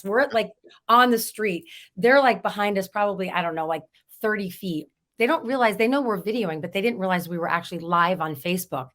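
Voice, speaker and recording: A hoarse woman, a real estate agent, chatting in an interview and telling stories with easy laughter. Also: mid-range voice, a bit bright. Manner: chatty, storytelling, laughs easily. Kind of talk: casual interview chat.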